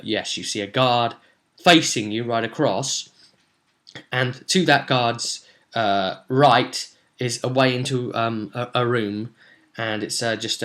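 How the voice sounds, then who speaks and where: A young man casually recounting a tabletop game session as game master, rambling and halting as he goes. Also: mid-range voice, a bit bright. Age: young adult. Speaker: tabletop role-play game master, vlogger. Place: small room at home, indoors.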